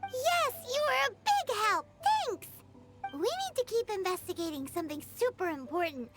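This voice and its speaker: A small, inquisitive fairy sidekick from a video game. The voice is squeaky, childlike and extremely high, swinging in lively melody. She chats perkily over clear background music. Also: normal speed, exaggerated voice. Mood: earnest, concerned. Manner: squeaky, perky, chatty. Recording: music behind the voice.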